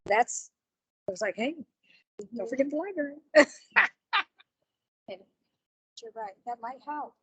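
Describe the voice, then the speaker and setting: A woman's voice: fairly high pitch, animated, slow pace, long pauses, husky, dry recording. A woman who is a business association member, talking informally in a meeting discussion on a muffled recording.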